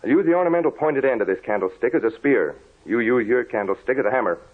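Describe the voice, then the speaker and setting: An actor playing a commanding, deductive investigator of the supernatural, deliberate and authoritative, in vintage radio horror style. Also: male voice, medium pitch, animated, slightly rough. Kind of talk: 1930s-40s radio horror acting. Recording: old, tinny, narrow-band radio recording.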